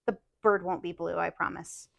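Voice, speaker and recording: A woman's voice: lowish voice, level tone, moderate pace, creaky, a bit bright, dry recording. A woman talking casually and at length, her thoughts looping through fillers and self-corrections. Her voice is slightly worn, as if she is losing it. The sound is dry and close, with no room around it. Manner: rambling, many fillers and restarts. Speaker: podcast co-host. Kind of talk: casual chat about cross-stitch projects.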